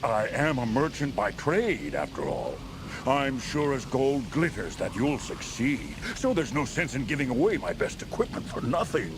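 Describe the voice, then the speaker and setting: Fantasy merchant, nervous, dramatic, self-interested, lively pitch swings, noisy game audio. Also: male voice, moderate pace. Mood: salesmanlike, nervous. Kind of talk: video game character acting.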